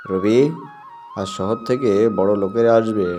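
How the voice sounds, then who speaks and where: A man's voice: fairly low pitch, steady tone, normal speed, over music, pitched below the performer's own voice, lightly acted. A man voicing a fussy village uncle in a cartoon, fondly scolding the herd boy. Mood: fretting. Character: fussy village uncle, fond but fretting.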